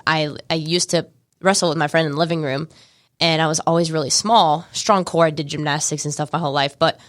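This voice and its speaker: A young woman with an American accent, a martial arts studio owner chatting as a radio guest in a studio, telling stories quickly. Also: deep voice, fairly bright tone, no echo. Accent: American, Georgia. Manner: chatty, quick storytelling with laughs. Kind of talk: casual interview chat.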